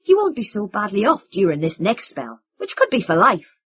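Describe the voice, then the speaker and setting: Angry young man played by a woman narrator, loud and cutting, in a dull recording. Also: medium pitch, very animated, moderate pace, husky. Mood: sarcastic. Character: bitter, furious young man accusing his family. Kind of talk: audiobook character reading.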